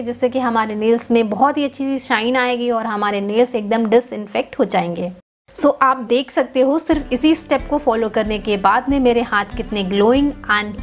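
Calm young woman teaching beauty steps. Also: fairly high pitch. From India. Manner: calm instructive, mixing Hindi and English. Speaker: beauty channel host. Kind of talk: step-by-step beauty tutorial. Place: indoor home room.

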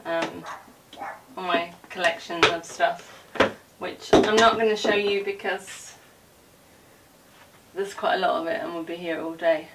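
A woman's voice: on the low side, steady tone, unhurried, long pauses. Woman in her 40s doing a chatty rambly home vlog, bit far from the camera mic, some background noise. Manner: informal, rambling asides while moving about showing things. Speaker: vlogger giving a room tour. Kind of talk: casual chatty vlog talk. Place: indoors, small home dressing room. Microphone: camera a few feet away.

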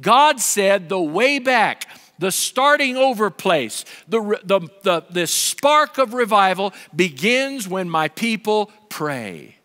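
Pastor, high bright voice, animated preaching style, reverberant hall. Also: male voice. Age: 50s. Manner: animated, gesturing preacher with wide swings in pitch and volume. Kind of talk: sermon. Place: large dark stage with a pulpit. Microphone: headset.

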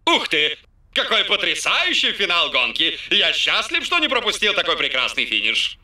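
A man in a cartoon dub playing an excited television motor-race commentator. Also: sits high, moderate pace, echoey room, far from the mic, full character voice. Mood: thrilled.

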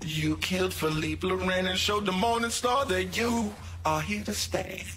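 A crude, boastful male pimp in a video game, swaggering and calling everyone baby. His voice is high for a man, with faint music underneath. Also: measured pace, a bit bright. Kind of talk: video game cutscene acting.

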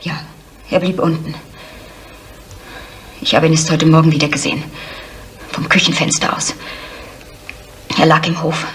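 An actress playing the guarded eldest daughter of a brutal innkeeper in a German radio crime drama. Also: female voice, deep voice, level tone, a little slow, long pauses, fairly bright tone. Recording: faintly noisy 1970s radio play.

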